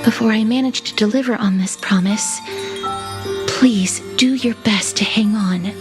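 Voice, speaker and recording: A woman voicing a gentle, earnest young healer in a fantasy video game, softly and politely, with tender reassurance. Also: mid-range voice, unhurried, natural voice. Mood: tender, reassuring. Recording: music under the voice.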